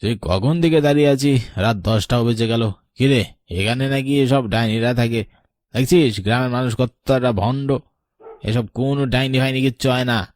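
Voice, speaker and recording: A man's voice: medium pitch, normal speed, darkish tone, no echo, clean studio sound, lightly acted. A man voicing a sceptical, bossy police inspector in a horror cartoon, brusque and commanding. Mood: dismissive.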